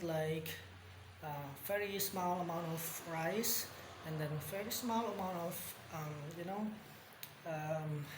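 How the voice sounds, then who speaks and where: Young Indonesian-accented man, casual vlogger, slow and halting, recorded in a roomy, noisy space. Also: high-pitched. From Bandung, West Java, Indonesia. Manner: hesitant, many ums and pauses. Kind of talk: casual spoken vlog. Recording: echoey room with background noise.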